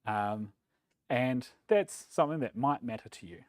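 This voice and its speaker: A man from New Zealand with a New Zealand accent, giving a relaxed, self-deprecating talk at a developer meetup, with plenty of ums and uhs. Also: medium pitch, unhurried, no room sound. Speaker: conference speaker. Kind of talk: casual tech meetup talk. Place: meetup room.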